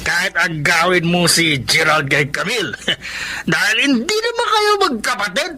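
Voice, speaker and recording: A Filipino man acting a brash, gossipy, excitable young drinking buddy in a radio drama. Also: sits high, normal speed, a little hiss or hum, heavily acted. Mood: teasing.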